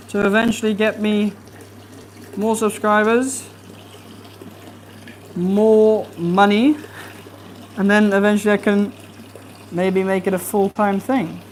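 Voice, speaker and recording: A young adult fishkeeping streamer chatting casually and rambling with fillers during a livestream from a home fish room, with a soft, relaxing voice. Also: mid-range voice, a little hiss or hum, low-quality recording. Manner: easygoing, rambling, many fillers. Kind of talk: casual livestream chat while cleaning tanks.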